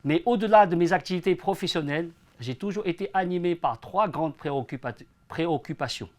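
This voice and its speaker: A man's voice: on the high side, fairly quick, a little mellow. A man in his forties, born in China and raised in France, giving earnest, prepared answers as an interview guest. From born in China, grew up in France from age ten. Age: 40s. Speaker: interview guest, entrepreneur and association president.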